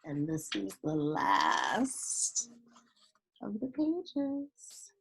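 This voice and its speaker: A woman reading a children's story aloud in a deliberate, expressive storytelling way. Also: medium pitch, vocal fry, husky, poor audio. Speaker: storybook reader.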